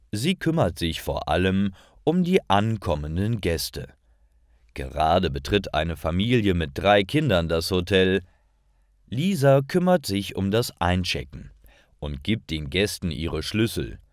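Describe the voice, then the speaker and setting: A man narrating scripted stories for language learners with clear, measured enunciation. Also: deep voice, no echo, studio quality.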